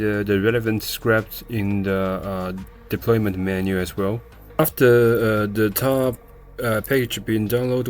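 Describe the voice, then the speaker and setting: A man with a fairly low voice walks through a technical procedure step by step. He speaks off the cuff and haltingly, often pausing to find the next word. Faint music plays behind him. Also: darkish tone. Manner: hesitant, halting delivery with searching pauses. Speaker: software tutorial presenter. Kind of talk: step-by-step screen tutorial, unscripted.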